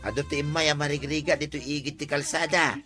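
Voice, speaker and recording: A man's voice: a little high, steady tone, fast talker, music in the background, close to an everyday voice. A man playing an inquisitive, exclaiming village uncle in an Ilocano comedy audio drama. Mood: amazed.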